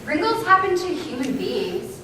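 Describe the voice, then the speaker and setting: A woman's voice: extremely high pitch, sing-song, a little slow. A woman performing a staged dramatic reading in a readers theater show. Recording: very echoey hall, distant mic, faint noise.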